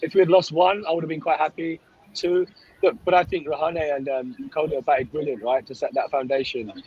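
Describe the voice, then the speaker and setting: A man with a slightly hoarse voice chatting casually as a sports fan guest on a call, often checking in with "right?". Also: fairly high pitch, steady tone, a little fast. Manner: conversational, often tags phrases with "right?". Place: on a coach, remote call. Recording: dull lo-fi call audio.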